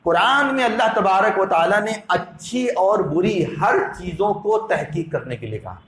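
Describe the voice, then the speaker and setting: A man's voice: high-pitched, lively intonation, unhurried, a bit bright, slight background noise. A man preaching an Islamic sermon, emphatic and didactic, repeating phrases for effect. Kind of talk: Islamic sermon with Quran quotations. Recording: echoey room sound.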